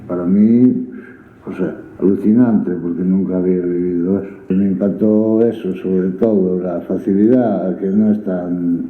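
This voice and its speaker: A man's voice: low-pitched, fairly even intonation, measured pace. A man giving an informal, enthusiastic personal testimonial. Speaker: community radio participant. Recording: tinny, echoey playback.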